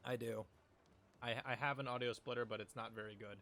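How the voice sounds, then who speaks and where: A man's voice: medium pitch, fairly even intonation, a little fast, gravelly, a crowd in the background. A man streaming a video game from home on a desk mic, chatting casually and rambling with fillers and little jokes. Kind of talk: casual live-stream chat. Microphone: desk microphone.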